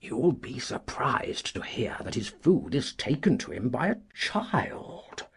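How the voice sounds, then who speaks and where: An actor playing a cantankerous, self-righteous old country gentleman, with a husky, creaky voice and a pompous, indignant delivery. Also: medium pitch, big pitch swings, slow, exaggerated voice. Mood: sly, boastful. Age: older. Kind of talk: dramatised classic audiobook acting.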